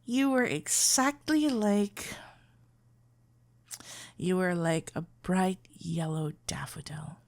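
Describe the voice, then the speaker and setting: A woman playing a shy, smitten florist best friend in a romantic ASMR roleplay, speaking hesitantly with stammers and halting phrases. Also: lowish voice, expressive, a little mellow. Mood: shy, tender. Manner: hesitant, stammering, halting, with whispered breathy moments.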